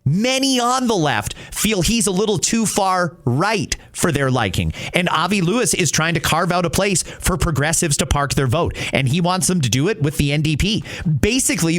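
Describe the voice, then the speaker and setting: A man's voice: high voice, lively intonation, normal speed, hardly any pauses, a bit bright. A man hosting a podcast, giving opinionated political commentary in a conversational, emphatic way. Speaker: podcast host. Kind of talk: political commentary, answering listener messages.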